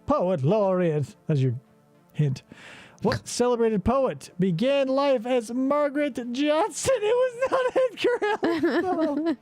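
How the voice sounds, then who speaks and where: A man hosts a comedic game show, rambling off on sarcastic, profane tangents. His voice sits high and swings widely in pitch, yet the tone stays soft and mellow. The recording is dry and close, with faint music underneath. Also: expressive, slow, no echo.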